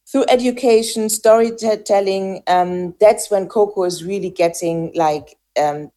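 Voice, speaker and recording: A woman giving reflective interview answers, pausing often with fillers and restarting her sentences as she works out her thoughts. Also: on the low side, dark tone. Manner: hesitant, frequent fillers and restarts. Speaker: interviewee, farm founder.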